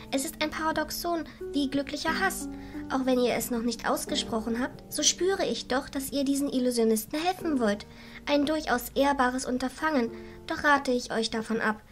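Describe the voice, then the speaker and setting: Woman as a sweet, squeaky fairy. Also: lightly acted. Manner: polite, formal. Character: sweet, gentle, earnest female fairy healer. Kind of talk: amateur audio drama acting.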